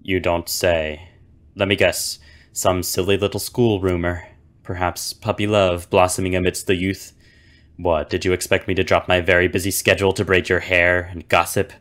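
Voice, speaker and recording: A man playing a gruff, sarcastic, dismissive character in an animated film. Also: deep voice, moderate pace. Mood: irritated, dismissive. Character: gruff, irritable, sarcastic man brushing off a child.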